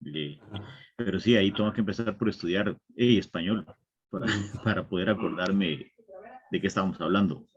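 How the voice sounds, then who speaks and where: A man's voice: sits low, normal speed, breathy edge, muffled recording. A man speaking as a student in an online class, answering hesitantly with many fillers.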